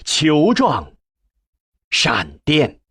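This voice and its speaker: A man reading a novel as a scripted narration, with measured, expressive storytelling and a husky voice. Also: on the high side, no room sound, clean studio sound. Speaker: audiobook narrator.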